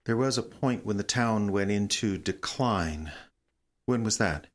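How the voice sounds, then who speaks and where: Very low-voiced, probing female interrogator. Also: expressive. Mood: cool, probing. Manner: measured, probing, insistent. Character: controlled, persistent interrogator probing a witness. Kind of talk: naturalistic audio drama acting. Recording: clear background noise.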